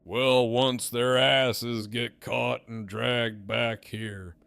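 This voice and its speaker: A man playing a smug, menacing gang leader in an audio drama, with a sardonic, profane, deliberate drawl. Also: medium pitch, fairly even intonation, creaky, a bit bright, clear, resonant tone, dry recording, exaggerated voice. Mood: smug, threatening.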